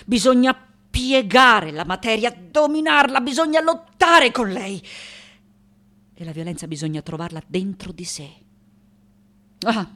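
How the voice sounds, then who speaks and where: A woman's voice: on the low side, lightly acted. An actress playing an elderly, defiant, vengeful woman sculptor. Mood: brooding, bitter. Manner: intense, theatrical, swinging from hushed to loud. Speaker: actress in a radio play. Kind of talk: dramatic radio theatre monologue.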